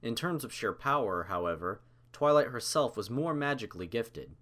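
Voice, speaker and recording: A man reading scripted story narration in a measured, dramatic manner. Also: mid-range voice, animated, fairly bright tone.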